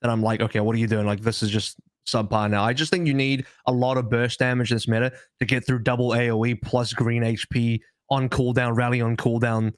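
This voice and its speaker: A man's voice: medium pitch, little pitch movement, fairly quick, hardly any pauses, dry recording, clean studio sound. A man giving casual, opinionated analysis as an esports podcast co-host.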